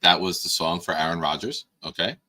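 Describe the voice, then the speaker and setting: A man hosting a casual live-stream show, hoarse, teasing and bossing his guest around with sudden loud bursts. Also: deep voice, fairly quick. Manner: teasing, bossy banter with sudden loud outbursts. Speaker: podcast host.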